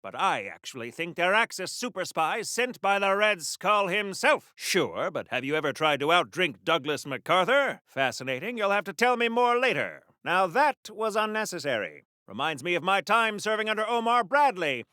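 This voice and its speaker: An actor playing a pompous, prudish American superhero war veteran of the 1940s in a video game, with a theatrical old-fashioned delivery. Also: male voice, high-pitched, very animated, moderate pace, hardly any pauses, sharp tone, no echo, clean studio sound, heavily acted. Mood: stuffy, boastful. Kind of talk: video game acting in 1940s radio hero manner.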